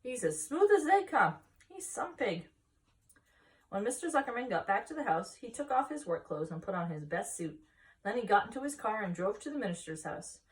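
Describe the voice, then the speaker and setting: Woman reading a storybook, slightly distant. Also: mid-range voice. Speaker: story-time reader. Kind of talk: scripted children's storybook narration. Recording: voice distant from the mic.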